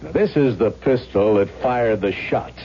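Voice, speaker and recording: An actor playing a methodical, commanding police lieutenant in a 1970s radio drama, with a husky, probing delivery. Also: male voice, mid-range voice, animated, a little hiss or hum. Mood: stern, probing. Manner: deliberate, probing, authoritative. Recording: dull old radio recording.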